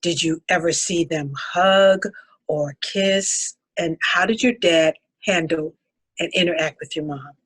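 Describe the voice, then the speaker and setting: A woman acting a husky, patient counselor. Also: lowish voice, a bit bright. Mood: soothing, firm. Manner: measured, instructive. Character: composed, patient couples counselor. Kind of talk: dramatic table read.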